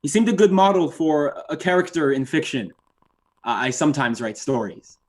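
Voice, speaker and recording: Man playing a husky, proud young city writer. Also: a little high, normal speed, natural voice. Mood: guarded, formal. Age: young adult. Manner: formal, precise, articulate. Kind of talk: old-time radio drama acting.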